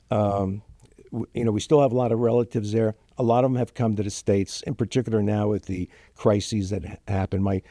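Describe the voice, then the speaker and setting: An older man, born in Germany to Ukrainian parents with Ukrainian as his first language, telling his family story in a halting, emotional way with many fillers and restarts. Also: on the low side, mellow, no echo, clean studio sound. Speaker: guest. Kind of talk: interview, personal story.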